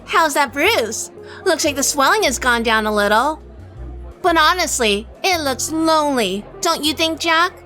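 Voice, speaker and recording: An actress playing a feisty, flirtatious and sardonic gangster's wife in a 1930s-style radio drama. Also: female voice, high voice, expressive, unhurried, bright, forceful tone, soft background music, full character voice. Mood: teasing, sassy. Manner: sassy, sardonic. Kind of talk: hardboiled period radio drama dialogue and first-person narration.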